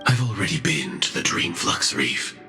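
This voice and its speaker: A man voicing a cold, guarded armored mech hunter in a video game, terse and deliberate, through a metallic helmet filter. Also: a bass voice, very animated, pushed and bright, heavily acted. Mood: terse, ominous. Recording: echoing processed voice over music.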